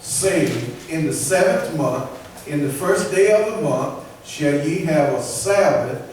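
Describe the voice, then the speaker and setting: A man reading scripture aloud in measured, declamatory phrases at a church lesson. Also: on the high side, expressive, a little mellow. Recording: very echoey room, distant mic, faint noise.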